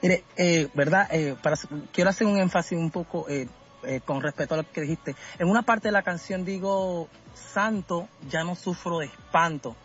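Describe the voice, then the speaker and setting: A man from Venezuela talking casually as a guest in a radio interview, halting and often searching for his words. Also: sits high, fairly bright tone, dull audio, faint music behind. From Caracas, Venezuela. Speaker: musician being interviewed.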